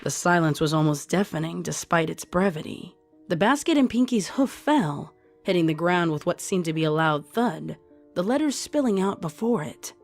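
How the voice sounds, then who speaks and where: Low-voiced woman narrating a story. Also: animated, normal speed. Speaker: narrator, fan fiction reader. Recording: music under the voice.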